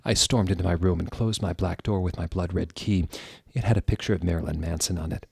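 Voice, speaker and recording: A man's voice: low-pitched, fairly even intonation, fairly quick, vocal fry, a little mellow, husky, no room sound, studio quality. A man giving a dramatic, comedic reading of fan fiction as its narrator. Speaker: reader.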